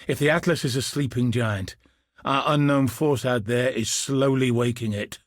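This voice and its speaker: A man voicing a grim, dutiful soldier commander in a video game, speaking with husky, solemn, earnest resolve. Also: mid-range voice, moderate pace, no echo, studio quality, close to an everyday voice. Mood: concerned, grave. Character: grim, dutiful male soldier commander hunting cosmic threats. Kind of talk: scripted video game character dialogue.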